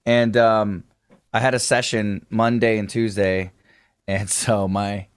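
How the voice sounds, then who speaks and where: A man, talking casually about his music over a video call. His voice sits a bit low, and he rambles in a friendly way, full of fillers and restarts. The sound is dry and close, with no room echo. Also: flat delivery, takes it slowly, smooth. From Houston, Texas. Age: late 20s. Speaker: drummer, interview guest. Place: home music studio room.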